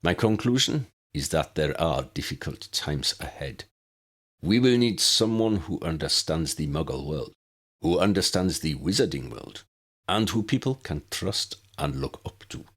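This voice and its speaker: A man voicing a senior government minister in an audiobook, deep, solemn, measured and formal. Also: lively intonation, fairly bright tone, slightly rough, full character voice. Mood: grave, measured.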